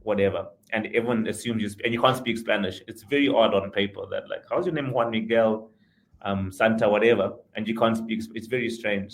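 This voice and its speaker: A man from Zimbabwe, a podcast guest, talking in a rambling, self-correcting way with frequent chuckles. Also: lowish voice. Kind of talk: casual conversational interview.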